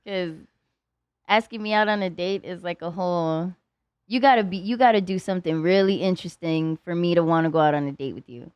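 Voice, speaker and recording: A young adult woman talking as a podcast guest in casual chat. She is blunt and profane, fills her talk with "like", and jumps into lively voiced quotes. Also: on the low side, a little fast, smooth, no room sound, muffled recording. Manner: blunt and profane, heavy use of "like"; animated, slips into voiced quotes.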